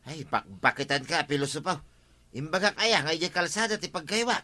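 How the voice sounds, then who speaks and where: Grumbling village firewood seller, male actor: fairly high, forceful voice, Ilocano accent, exclamatory comedy drama delivery. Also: animated, fairly quick. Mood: grumbling, exclaiming. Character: grumbling working village man who sells firewood.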